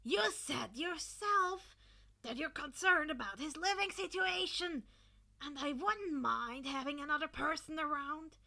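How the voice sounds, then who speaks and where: An exuberant, teasing husband from an audio story, acted out by a woman. The voice is high and very bright, with punchy emphasis. He talks like an excitable, dramatic teacher. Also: a little slow, gravelly, full character voice. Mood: earnest, pleading.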